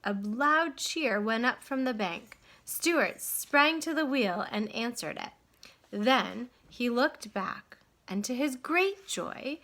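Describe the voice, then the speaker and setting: Female storybook narrator. Bright, forward tone, slow deliberate pacing, expressive and dramatic read-aloud delivery. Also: high voice. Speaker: storybook reader.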